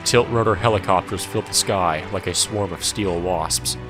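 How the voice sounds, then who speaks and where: A man's voice: low voice, normal speed, natural voice. An actor playing a wry, world-weary soldier who narrates his war in the first person, sardonic and irreverent, in an audio drama. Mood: grim. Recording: music under the voice.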